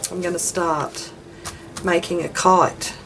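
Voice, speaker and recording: An Australian woman with a slightly raspy voice from a chest infection, hosting a casual craft video in a rambling, self-deprecating way as she talks to herself. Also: lowish voice, fairly even intonation, slow, fairly bright tone, a little hiss or hum, low-quality recording. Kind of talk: casual chatty craft demonstration.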